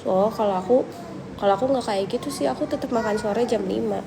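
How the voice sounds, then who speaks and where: A young woman, slightly under the weather with a cold, talks casually to her viewers. Her voice has a gravelly, creaky edge. The livestream audio carries clear background noise. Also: fairly high pitch, fairly quick. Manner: chatty, relaxed, thinks aloud with fillers. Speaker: livestream host answering viewers. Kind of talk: casual live chat reading viewer questions.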